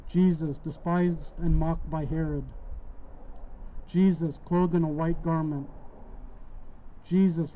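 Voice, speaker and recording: A man leads solemn prayer in a formal, reverent manner. His voice sits a little higher than usual for a man, and he takes each phrase very slowly. The recording is dull and lo-fi. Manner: measured, formal, reverent recitation. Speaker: prayer leader.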